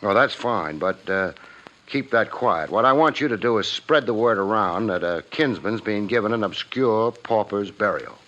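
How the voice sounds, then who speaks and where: Low-voiced hard-boiled radio detective. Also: male voice, lively intonation, normal speed, a bit bright. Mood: measured. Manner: wry, clipped tough-guy delivery. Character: hard-boiled male private investigator, wry, persistent. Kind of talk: 1950s radio detective drama acting and narration. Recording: muffled 1950s radio recording with music.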